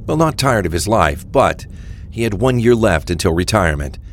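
A man's voice: fairly low pitch, big pitch swings, normal speed, a little hiss or hum. A man narrating a scripted crime audio drama.

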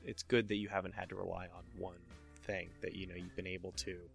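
A man's voice: deep voice, level tone, a little fast, gravelly, soft background music. A male podcast host chatting with a guest, friendly and encouraging, often stumbling over his words. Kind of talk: casual interview conversation.

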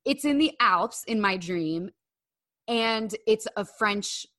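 An American woman co-hosting a podcast in casual, slangy chat, excitable and quick to mock herself. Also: mid-range voice. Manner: excitable, self-mocking, slangy. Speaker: podcast co-host. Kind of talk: casual pop-culture chat.

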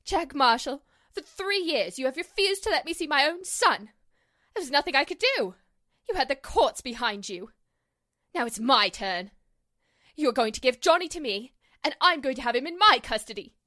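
A woman's voice: high-pitched, big pitch swings, moderate pace, sharp tone, full character voice. A woman acting a bitter, wronged mother in theatrical 1940s radio drama style. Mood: bitter, defiant. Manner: theatrical, emotional.

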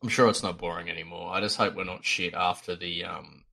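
An Australian man rambles through a voicemail in a low but bright, forceful voice. He swears constantly and speaks in laid-back slang. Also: steady tone, brisk, gravelly. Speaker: caller.

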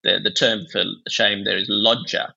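A man's voice: lowish voice, level tone, moderate pace, creaky, bright, forceful tone, highs cut off. A man from Bangladesh speaking as a psychiatrist guest in an interview, explaining ideas in a discursive, intellectual way.

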